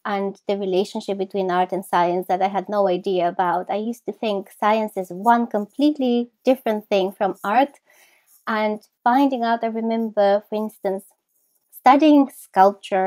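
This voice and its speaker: A woman's voice: medium pitch, normal speed, a little mellow, dry recording. A woman with a Bulgarian accent giving thoughtful, enthusiastic, reflective answers as an academic interview guest. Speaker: guest, philosopher of science.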